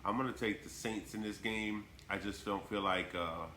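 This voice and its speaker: A man's voice: on the low side, steady tone, moderate pace, pushed and bright, roomy sound, slight background noise. A man with a congested voice from a cold, co-hosting a sports podcast in a laid-back way full of fillers. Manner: laid-back, lots of "you know" and "um". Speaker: podcast co-host. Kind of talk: casual sports podcast chat.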